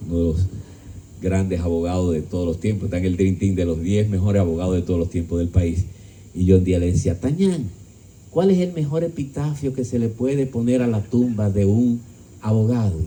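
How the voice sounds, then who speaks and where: A man's voice: mellow, a little hiss or hum, rough recording. A man giving a philosophical lecture to an audience, emphatic, asking rhetorical questions and joking. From Dominican Republic. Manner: emphatic, shifting pitch and volume. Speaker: speaker at a discussion gathering.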